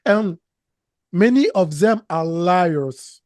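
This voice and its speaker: A man's voice: high voice, lively intonation, a little mellow, no room sound, clean studio sound. A man with a French accent and French as his first language, a business coach speaking earnestly as an interview guest. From Canada. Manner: slow, earnest, emphatic, with non-native grammar slips. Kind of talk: interview conversation.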